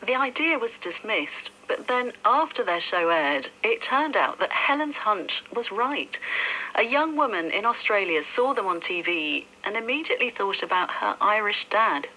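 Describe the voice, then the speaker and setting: A woman's voice: medium pitch, big pitch swings, moderate pace, pushed and bright. A woman presenting and interviewing on a radio programme, heard over shortwave. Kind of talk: interview questions and linking narration. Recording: very noisy, crackly shortwave radio reception.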